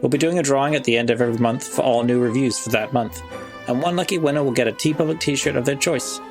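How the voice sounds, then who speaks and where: Creaky-voiced man reading podcast credits. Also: mid-range voice, fast. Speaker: podcast host. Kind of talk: scripted podcast narration and credits. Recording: music behind the voice.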